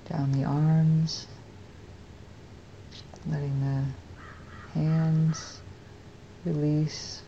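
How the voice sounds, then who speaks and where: An American woman leading a guided meditation as a teacher, speaking softly and unhurriedly with long pauses. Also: low voice, little pitch movement, dull audio, a little hiss or hum.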